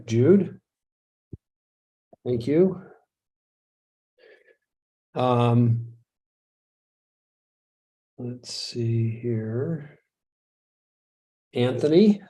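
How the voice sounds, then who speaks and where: A man's voice: medium pitch, dark tone, rough recording. A middle-aged American man hosting a live webinar in a casual, chatty way, joking at his own expense and chuckling often. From United States. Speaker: webinar host.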